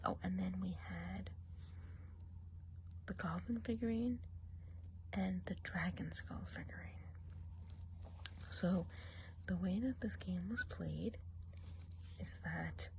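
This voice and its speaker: A woman playing a gossipy witch of the woods in an ASMR role play, chatting along in a soft, rambling whisper. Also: fairly low pitch, vocal fry, a little hiss or hum, low-quality recording. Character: gossipy female forest witch.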